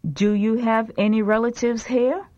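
Slow, clear woman reading lesson prompts. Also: on the high side, fairly even intonation, warm tone, muffled recording. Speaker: language lesson reader. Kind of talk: slow repeat-after-me language drill.

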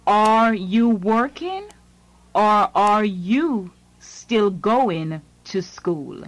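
A woman's voice: medium pitch, expressive, no room sound, rough recording. A woman reading English lines in a scripted language lesson, phrase by phrase. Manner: slow, deliberate, phrase by phrase.

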